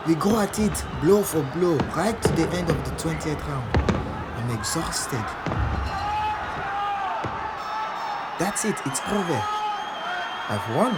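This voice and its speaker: A man's voice: fairly high pitch, natural voice, low-quality recording. An actor playing a proud, combative male boxer, narrating his fight in punchy present-tense phrases for an audio drama. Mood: exhausted, defiant. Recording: loud background ambience.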